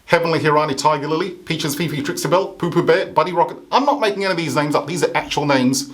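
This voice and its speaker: A man's voice: on the high side, fast talker. A man from Africa hosting a comedy show, delivering a satirical monologue with sarcastic, punchy mock outrage.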